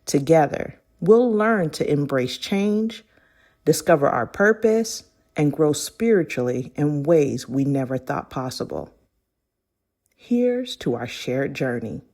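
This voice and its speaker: A woman's voice: deep voice, lively intonation, slow, a little mellow. A woman narrating a scripted, inspirational book foreword in an audiobook style.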